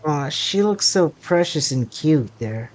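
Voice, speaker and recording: A woman's voice: deep voice, animated, a little slow, slight background noise. A woman streaming a story game, commenting and reacting casually as she plays.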